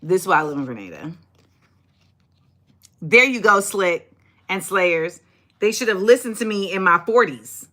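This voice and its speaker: A woman's voice: medium pitch, a little slow, long pauses. A woman in her forties hosting a casual livestream, chatty and sassy, talking straight to viewers. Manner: chatty, sassy, animated, reads and answers viewer comments. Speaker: livestream host.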